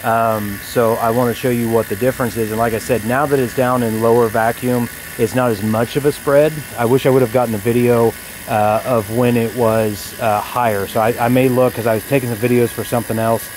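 A man's voice: medium pitch, level tone, a little fast, darkish tone, rough recording. A man in his forties talking casually and explaining things to the camera like a working technician. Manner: conversational, explanatory. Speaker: HVAC technician. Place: outdoors in a yard beside an air conditioning unit. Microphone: phone at arm's length. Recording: outdoor phone recording with background noise.

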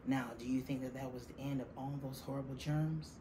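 A middle-aged woman reading a children's picture book aloud, deliberately and with dramatic stress on key words. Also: rumbling low, fairly even intonation, mellow. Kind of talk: expressive children's storybook reading. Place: small classroom, indoors. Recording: faint room noise behind the voice.

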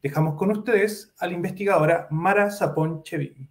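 Thirties male host, reads a formal guest introduction, slightly high voice, headset on a video call. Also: normal speed. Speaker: event host. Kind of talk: scripted introduction. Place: home office with bookshelf.

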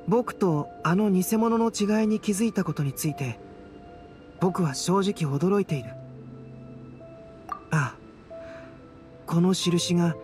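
An actor playing a calm, cerebral young male scholar in a Japanese anime game, speaking in a composed, gentle, thoughtful way. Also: sits high. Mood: pensive. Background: background game music.

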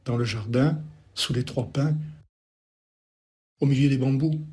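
A man reciting poetry as an incantatory litany, in short repeated phrases set apart by pauses. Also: medium pitch, mellow. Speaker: poet.

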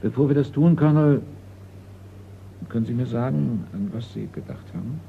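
An actor playing a polite, precise middle-aged art appraiser in a German radio crime play. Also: male voice, medium pitch, normal speed, gravelly, lightly acted. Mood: precise, tactful. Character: seasoned male art appraiser, deferential, precise, discreet. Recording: dull, noisy old radio recording.

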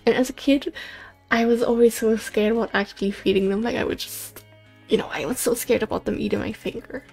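A woman's voice: fairly high pitch, steady tone, brisk, gravelly, a little mellow, slightly rough, soft background music. A woman in her twenties chatting casually and telling stories while playing a game, with frequent giggles. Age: 20s. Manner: chatty, storytelling, giggly asides. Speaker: gaming channel host. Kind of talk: casual let's play commentary. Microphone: USB condenser mic.

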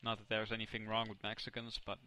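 A young man from the Netherlands with a Dutch accent, casually commentating his own video game match, excitable and often correcting himself. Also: lowish voice, fast, low-quality recording. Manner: excitable, self-correcting, reacts loudly to plays. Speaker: gaming commentator. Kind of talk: casual let's play commentary.

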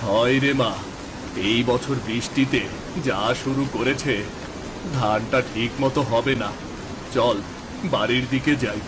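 A man voicing an anxious, gentle father, a poor rice farmer in a cartoon, with a worried and plaintive manner. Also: mid-range voice, fairly even intonation, slow, long pauses, noisy background, close to an everyday voice. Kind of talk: Bengali cartoon voice acting.

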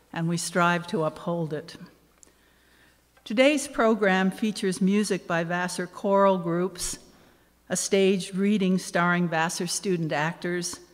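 A woman of around seventy giving a measured, formal welcome speech with dry humor from a podium microphone on stage. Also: on the low side. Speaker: event host, anniversary committee co-chair. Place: on stage in a large hall. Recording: reverberant concert hall.

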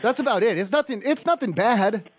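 A man's voice: high voice, animated, a little fast, slight background noise. A man making a prank phone call, rambling in a feigned naive way with stammering restarts. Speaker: prank caller.

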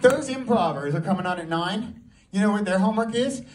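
A man performing a stand-up comedy set, telling a story in an animated way and throwing rhetorical questions at the audience. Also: high voice, fairly quick. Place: live comedy show before an audience. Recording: live room, distant mic, audience noise. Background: audience crowd and laughter.

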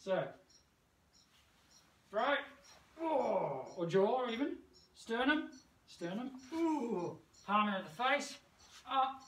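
A man's voice: very high voice, big pitch swings, slow, long pauses, fairly bright tone. A middle-aged man teaching martial arts moves in a casual, playful way while moving about, punctuating his instructions with sound words. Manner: physically active while talking. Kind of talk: hands-on demonstration, casual teaching. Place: covered brick veranda outdoors. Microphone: camera several metres away. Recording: distant camera mic, roomy, noisy.

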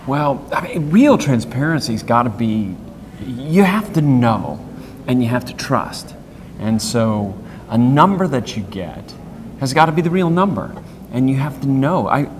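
A man in his fifties with a medium-pitched voice answers as an interview guest. He is plain-spoken and explains things through stories and analogies. The recording has a long hall echo and faint noise behind him. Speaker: interview guest, industry executive. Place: hotel conference corridor, indoors. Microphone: clip-on wireless lapel mic.

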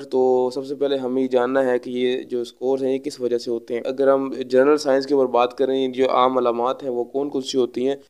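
A man giving an explanatory veterinary lecture, speaking instructively with frequent hesitant pauses. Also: mid-range voice, darkish tone, smooth.

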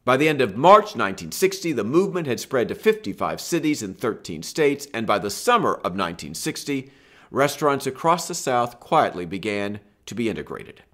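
An older man presenting a history lesson in scripted narration from a room at home, in a measured, expressive storytelling manner. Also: mid-range voice. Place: home room with bookshelf and lamp.

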